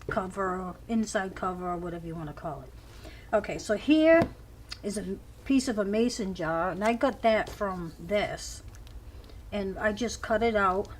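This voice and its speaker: Woman crafter, scratchy voice, chatty page-by-page walkthrough, recorded on camera at a home table. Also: mid-range voice. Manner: chatty, describes each page as she flips. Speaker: crafter showing a handmade journal. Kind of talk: casual show-and-tell.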